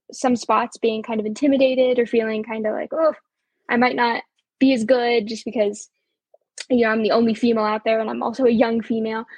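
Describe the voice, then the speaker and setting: 17 yr old girl auctioneer chatting, kinda high and breathy, lots of ums, dry close sound. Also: no echo. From Colorado. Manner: upbeat, chatty with frequent ums and laughs. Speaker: auctioneer guest. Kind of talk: casual interview chat.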